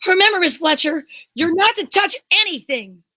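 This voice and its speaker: Very high, bright, bossy police inspector played by a woman. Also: animated, moderate pace, exaggerated voice. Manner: scolding, emphatic orders. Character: bossy, fussy male police homicide inspector. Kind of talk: amateur old-time radio mystery acting.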